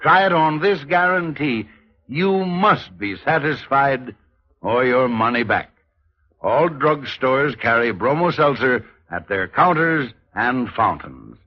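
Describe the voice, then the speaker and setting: A man's voice: medium pitch, expressive, slow pace. A man reading a commercial as an announcer in 1940s radio style. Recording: muffled vintage radio recording.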